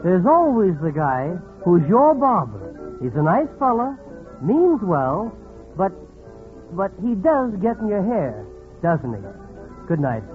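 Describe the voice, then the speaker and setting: An actor playing a sardonic, confiding narrator who needles the characters in a 1940s radio comedy. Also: male voice, sits high, huge pitch swings, slow, faint music behind, heavily acted. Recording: tinny, phone-like 1940s radio recording.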